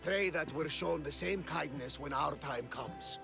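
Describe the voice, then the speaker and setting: A man's voice: on the high side, a little slow, creaky. An actor playing a proud, hearty one-eyed male lieutenant in a game cutscene with music.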